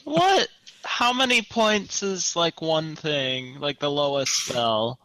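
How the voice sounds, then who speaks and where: A man's voice: on the high side, lively intonation, takes it slowly, pushed and bright, no room sound, rough recording. A man on a podcast panel, chatting informally about video games. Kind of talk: casual chat about gaming.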